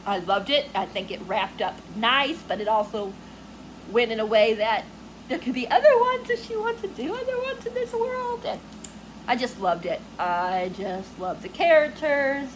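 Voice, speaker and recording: Chatty lady doing a book vlog, kinda high voice, gushy and rambly, bit of background noise. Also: big pitch swings, normal speed, poor audio. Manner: rambling, gushing, often trails off searching for words. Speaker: book vlogger. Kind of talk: casual chatty vlog to viewers.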